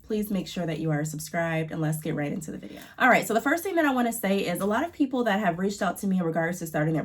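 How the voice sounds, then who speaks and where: Congested woman giving chatty business advice. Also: fairly low pitch. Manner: chatty, filler-heavy, encouraging. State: congested from allergies. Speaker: YouTube business vlogger. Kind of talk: casual advice talk to camera.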